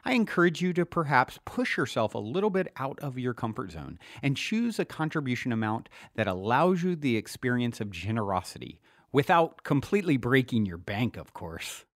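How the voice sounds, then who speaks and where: A man reading his own self-help book as scripted narration, earnest and motivational with deliberate emphasis. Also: medium pitch, very animated, dry recording, clean studio sound.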